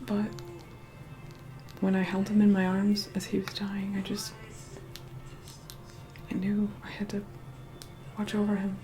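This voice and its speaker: A woman playing an aloof, reluctantly caring vampire woman in an ASMR roleplay, soft-spoken and breathy, often whispering, slow and condescending. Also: mid-range voice, completely flat delivery, natural voice, low-quality recording. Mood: wistful, tender. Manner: slow, condescending, grudgingly kind. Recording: loud music behind the voice. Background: music.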